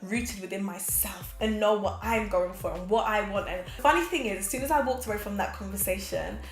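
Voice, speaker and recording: A woman in her twenties giving casual, energetic motivational talk to a camera as host, seated in a small room. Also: mid-range voice, fairly quick. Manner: energetic, expressive, gestures a lot, laughs often. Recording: roomy echo with faint background music.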